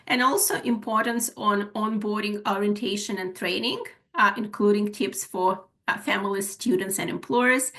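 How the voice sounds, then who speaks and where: A woman's voice: medium pitch, pushed and bright. A woman with a Ukrainian accent presenting a webinar as an HR manager, with many filler sounds as she goes through her slides. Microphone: video call.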